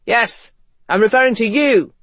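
Man voicing a wry, high-pitched English gentleman. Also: very animated, moderate pace, no echo. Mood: bitter, scornful. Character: wry upper-class Englishman, an impassioned period-novel gentleman. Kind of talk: audiobook character dialogue.

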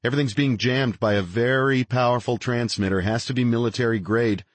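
Man acting an anxious military tech, raised pitch. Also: fairly quick, no echo, natural voice. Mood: alarmed, urgent. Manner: urgent, clipped technical reporting. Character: anxious male military communications technician reporting trouble.

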